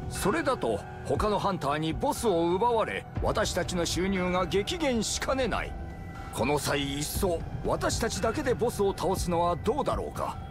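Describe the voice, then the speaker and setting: An actor playing a middle-aged leader of a hunter party, measured and authoritative, in a game cutscene style. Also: male voice, sits high, huge pitch swings. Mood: serious, deliberate. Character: commanding, deliberate male leader of a hunter party. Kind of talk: Japanese game cutscene voice acting. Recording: music behind the voice.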